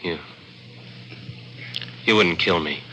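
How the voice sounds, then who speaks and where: An actor playing a desperate small-time gambler in 1940s radio drama style, pleading in a nervous, halting way. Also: male voice, deep voice, sharp tone, full character voice. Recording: noisy old-time radio recording.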